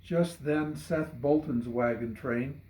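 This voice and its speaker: A man reading a narrative poem dramatically, in measured and solemn storytelling. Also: mid-range voice, warm tone. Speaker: poet reading own poem. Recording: roomy echo.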